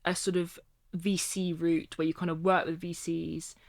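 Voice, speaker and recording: A woman's voice: fairly low pitch, level tone, moderate pace, creaky, dry recording. Woman hosting a podcast, dry sound. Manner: warm, encouraging, frequent affirming murmurs. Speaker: podcast host.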